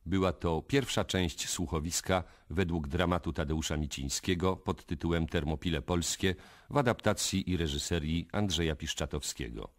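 Deep-voiced male radio announcer. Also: normal speed, hardly any pauses. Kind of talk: formal scripted radio credits.

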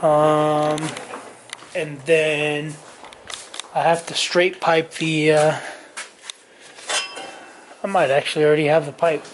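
A man's voice: fairly high pitch, level tone, slow pace, long pauses, a little hiss or hum. A tired man in his forties chatting casually to camera in his home garage, rambling with lots of ums and self-corrections. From Southern California. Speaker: garage vlogger. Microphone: on-camera mic, camera at arm's length and on bench.

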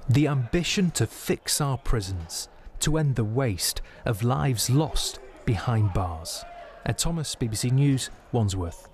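A man's voice: mid-range voice, animated, takes it slowly, darkish tone, a little hiss or hum. A man, a news reporter narrating a report and answering an anchor's questions. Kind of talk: scripted news narration and studio debrief.

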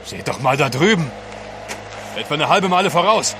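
Man acting anxious, high-voiced explorer. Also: lively intonation, moderate pace, background noise, natural voice. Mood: alarmed. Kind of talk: German radio drama acting.

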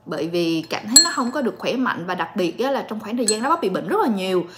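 A woman in her late twenties chatting calmly and directly to viewers in a casual home vlog. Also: medium pitch, quick pace, a little hiss or hum. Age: late 20s. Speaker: vlogger and story reader. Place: living room at home.

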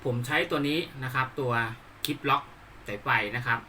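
A man giving an unscripted hands-on tutorial, explaining politely in a halting, repetitive way. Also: medium pitch, steady tone, bright, forceful tone, slight background noise. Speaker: hobbyist presenter demonstrating a DIY build.

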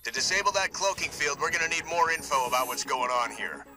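An actor playing a gruff, decisive military squad commander in a video game, giving urgent orders. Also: male voice, a little high, quick pace, background noise, close to an everyday voice. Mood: determined.

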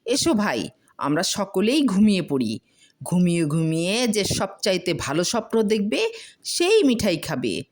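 A woman's voice: medium pitch, big pitch swings, a little slow, darkish tone, voice pushed up. Lady doing a sly boastful traveller guy from a storybook, coaxing, really up and down.

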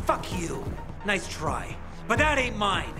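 An actor playing a hot-headed young gang brother in a video game, aggressive, volatile and menacing. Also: male voice, high-pitched, sing-song, slow pace, exaggerated voice. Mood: furious. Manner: aggressive, foul-mouthed. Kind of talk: dramatic video game acting. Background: loud game music.

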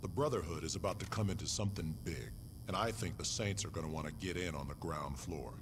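Man acting a very deep-voiced menacing gang boss. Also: fast, background noise, natural voice. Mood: cocky, businesslike. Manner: blunt, cocky, intimidating. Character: menacing, arrogant male gang boss, tattooed tough guy. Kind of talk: video game cutscene acting.

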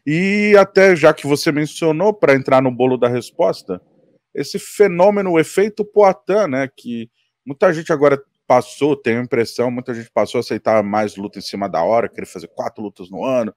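Headphoned interviewer asking long questions. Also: male voice, medium pitch, moderate pace, no echo, clean studio sound. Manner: long multi-part questions, lively emphasis. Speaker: interviewer and show host. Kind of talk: video-call interview. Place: small home studio indoors. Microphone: studio mic with headphones.

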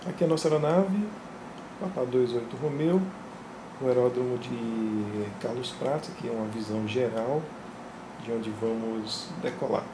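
A man explains his homemade flight panel in a steady, methodical way. His voice echoes around a small room. Heavy fan and engine hum sits underneath him the whole time. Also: medium pitch. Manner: calm, methodical, pointing things out. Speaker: flight sim hobbyist. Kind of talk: casual show-and-tell narration. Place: home simulator room, indoors. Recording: roomy, with loud fan and engine noise.

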